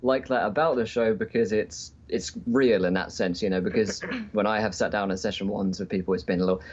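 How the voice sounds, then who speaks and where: A man chatting casually as a podcast guest and game streamer, with hesitant, halting phrasing, calling in remotely. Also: on the low side, fairly even intonation, husky. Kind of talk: casual interview chat. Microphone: remote call-in. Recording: dull, lo-fi call audio.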